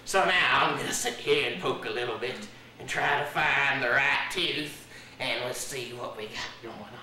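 배우가 연기하는 허풍 센 호주 악어 조련사 남자, 농담을 좋아하고 느릿한 시골 말투에 과장된 호주 억양, 멀리서 울리는 방에서 녹음됨. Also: male voice, mid-range voice, moderate pace, sharp tone, slight background noise. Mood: folksy boastful. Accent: put-on Australian. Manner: jokey, folksy, drawling, laughs at own jokes. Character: boastful joking Australian crocodile handler, man. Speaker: guest playing a crocodile dentist. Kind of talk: comedy sketch acting. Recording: echoey room, heard from a distance.

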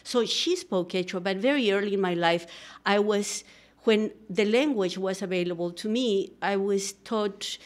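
A woman's voice: medium pitch, normal speed. A middle-aged woman from Peru with a Peruvian Spanish accent, speaking earnestly as a guest in a radio studio interview, with many fillers and restarts. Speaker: guest, social psychologist. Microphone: studio microphone, headphones.

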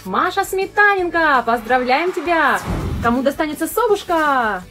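A woman co-hosting a children's vlog, chatting in an animated way and addressing young viewers directly. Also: sits high, moderate pace. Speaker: off-camera co-host of a family children's vlog. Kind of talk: upbeat kids' channel presenting and chat. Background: background music.

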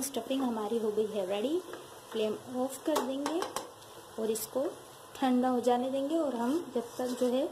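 A woman with a speech hosting a home cooking tutorial, calmly walking viewers through each step in her kitchen. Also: high voice. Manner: calm, instructive, addresses viewers directly. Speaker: cooking channel host. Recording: noisy home recording with background ambience.

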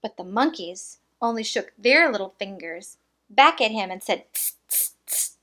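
A woman reading a children's picture book aloud, with expressive, deliberate phrasing and small asides. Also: medium pitch.

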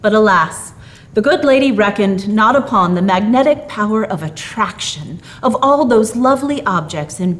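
A middle-aged woman reading a story aloud in expressive, theatrical narration with drawn-out emphasis. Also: medium pitch. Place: small dark theatre stage, indoors.

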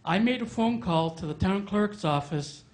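A man's voice: high-pitched, animated, normal speed. A middle-aged man speaking bluntly into a handheld microphone during public comment at a town meeting, raising complaints. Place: town hall meeting room.

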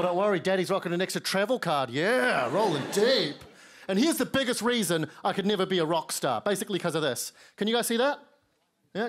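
A young man with a New Zealand accent doing stand-up on a TV studio stage into a handheld mic, wry and self-mocking, dropping into lower voices for quoted lines. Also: a bit bright. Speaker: stand-up comedian. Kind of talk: stand-up set. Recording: studio stage with audience noise. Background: studio audience laughter.

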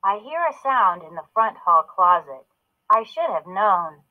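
A woman's voice: mid-range voice, sing-song, measured pace. A woman narrating a children's story as a read-aloud, in a narrow phone-like recording.

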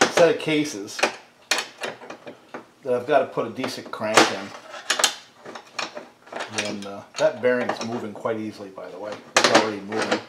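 Folksy man demonstrating in a noisy workshop. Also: fairly low pitch. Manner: relaxed, folksy, talks while working with tools. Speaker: small engine repair channel host. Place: cluttered home workshop at a workbench. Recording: echoey workshop with loud tool noise.

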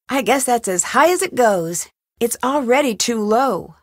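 High-voiced curious young detective heroine. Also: female voice, no room sound, studio quality. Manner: clear, thinking aloud in short remarks. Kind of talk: scripted adventure game voice lines.

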